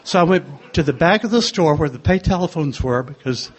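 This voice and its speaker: An older man with a soft voice, sharing his own story in a gentle, wry way. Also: fairly high pitch, lively intonation, a little fast, slightly rough. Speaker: speaker sharing a recovery story. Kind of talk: personal testimony to a group.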